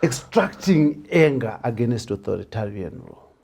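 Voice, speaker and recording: A man hosting a conversational interview, haltingly repeating the openings of his phrases. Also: medium pitch, very animated, vocal fry, darkish tone, a little hiss or hum.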